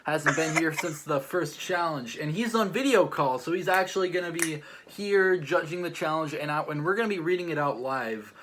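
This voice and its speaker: A man's voice: a little high, moderate pace, few pauses, a bit bright, a little hiss or hum. A man hosting and judging a challenge, chatting casually in a rambling, hesitant way with lots of "like". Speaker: host and judge of a challenge show. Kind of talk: casual chat, reviewing stories.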